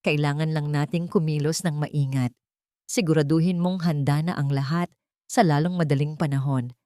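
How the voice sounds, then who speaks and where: A woman's voice: deep voice, fairly even intonation, normal speed, warm tone, no room sound, studio quality, close to an everyday voice. Woman as a cold, two-faced scheming stepmother. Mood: quiet menace. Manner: menacing, falsely sweet.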